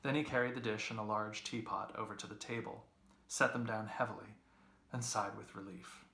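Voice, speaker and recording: A man reading literary prose aloud as narration, with expressive, deliberate phrasing. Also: fairly low pitch, vocal fry, echoey room. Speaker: reader, English professor.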